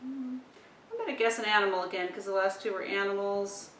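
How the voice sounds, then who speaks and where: A woman's voice: fairly quick, a bit bright. A woman thinking aloud as she gives casual instruction, presenting how to use a communication device. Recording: roomy, distant mic with rumbling noise.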